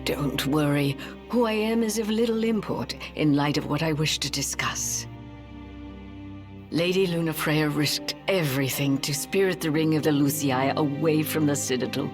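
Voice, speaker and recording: A woman's voice: sits low, moderate pace, lightly acted. A woman playing a gracious, devoted elderly royal retainer in a video game, speaking in a formal, old-fashioned, reverent way. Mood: relieved. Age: older. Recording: game music behind the voice.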